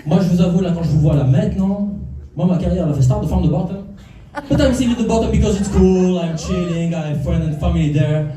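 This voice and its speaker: Playful stand-up comic with a high voice. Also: male voice, fairly quick, mellow, soft background music. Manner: playful crowd work, teasing the audience. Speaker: stand-up comedian. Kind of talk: stand-up comedy routine. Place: comedy club stage before a live audience. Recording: echoey room, from far off.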